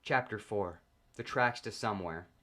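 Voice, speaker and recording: Low-voiced man narrating a story, deadpan. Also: normal speed. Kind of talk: first-person comedic story narration.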